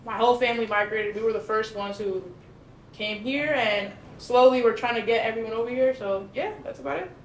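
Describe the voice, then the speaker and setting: Young woman from Colombia, slightly high voice. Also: fairly quick. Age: young adult. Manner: laid-back, friendly. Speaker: student podcast guest. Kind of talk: casual podcast chat. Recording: echoey room, faint noise.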